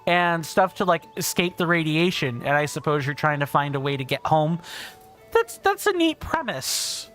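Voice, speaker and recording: A man livestreaming a game, chatting casually and reading out viewer comments, with a big booming voice. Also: sing-song, normal speed, a bit bright, faint music behind. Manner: chatty, thinks aloud, reads viewer comments. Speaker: streamer. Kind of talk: casual gameplay livestream chat.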